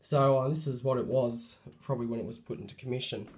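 A man with a hoarse voice from a cold, casually showing off a light fitting he refurbished, talking hesitantly with many ums and restarts, recorded on a handheld phone indoors. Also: medium pitch, steady tone, fast talker, a little mellow. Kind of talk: casual show-and-tell narration.

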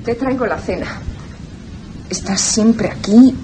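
A tender, anxious sister in radio theatre. She pleads gently and very slowly, over audible background noise. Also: a little high. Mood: concerned. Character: worried, tender sister coaxing her troubled brother. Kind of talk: Spanish radio theatre acting.

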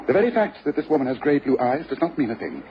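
An actor playing a rational, sceptical master detective in a vintage radio drama, precise and authoritative. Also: male voice, medium pitch, big pitch swings, racing, lightly acted. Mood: brisk, sceptical. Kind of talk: 1950s-60s radio drama acting. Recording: muffled, noisy vintage radio recording.

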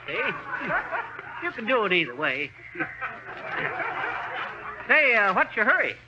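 Cocky, gullible handyman, slangy and animated, high male voice, old radio comedy. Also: slow, exaggerated voice. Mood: cheerfully gullible. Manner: folksy, slangy, blustering. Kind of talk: 1930s radio comedy acting. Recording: very noisy, dull 1930s radio recording.

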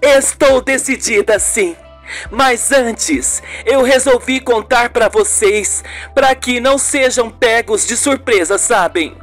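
Narrator doing anxious emotional woman tv host in a radio soap, pleading, high voice, soft music behind. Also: slow pace. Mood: tense resolve. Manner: pleading, effusive. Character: tense, emotional woman TV presenter, grateful and anxious.

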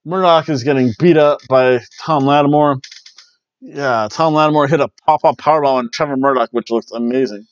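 A man's voice: mid-range voice, brisk. Chatty man recapping wrestling on a headset. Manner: chatty, jokey, loose play-by-play with playful exclamations. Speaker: wrestling review host. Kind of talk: casual review chat. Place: small home room with bookshelves, indoors. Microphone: headset mic on a webcam call. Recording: dry, dull lo-fi webcam audio.

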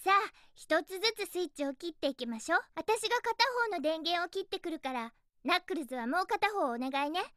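A woman playing a perky, feisty young female hedgehog heroine in a Japanese video game, girlish, energetic and bossy. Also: very high-pitched, brisk, dry recording, studio quality. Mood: upbeat, determined.

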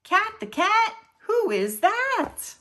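A woman reading children's picture books aloud in an expressive storytelling style. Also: very high voice, slow pace. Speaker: children's book reader.

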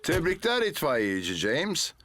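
Children's cartoon firefighter lead: high-set voice, steady, reassuring, clear authority, music behind. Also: male voice, a little slow, full character voice. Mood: calm, friendly. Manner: reassuring, instructive. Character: calm, dependable adult male firefighter hero. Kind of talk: dubbed children's cartoon dialogue. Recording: music under the dialogue.

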